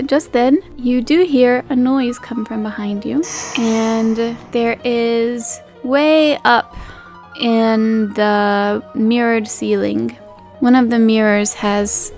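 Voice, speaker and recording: A woman's voice: fairly high pitch, creaky, darkish tone. A woman improvising descriptions as game master of a tabletop roleplaying game, in an informal, feeling-her-way manner.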